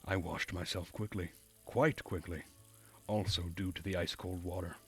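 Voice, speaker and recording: A man with a husky voice reading a visual novel aloud as narration while streaming the game. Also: low-pitched, fairly even intonation, normal speed, a bit bright, soft background music.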